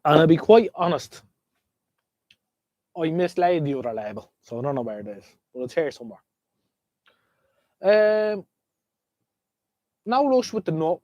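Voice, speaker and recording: An Irish man hosting a casual live stream, a bit sniffly from a head cold. His pitch sits somewhat high and swings about a lot as he rambles on to his viewers. The sound is close and dry, with no room echo. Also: animated, moderate pace, long pauses, a little mellow. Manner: chatty, rambling, talks to viewers. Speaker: livestream host. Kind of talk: casual livestream chat. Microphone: desk microphone.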